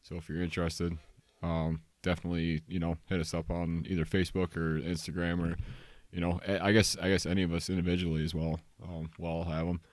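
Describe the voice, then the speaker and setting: Young man, very low voice, dry close sound, hesitant and laid-back co-host. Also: level tone, fairly quick, darkish tone, dry recording. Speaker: podcast co-host. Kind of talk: casual podcast chat.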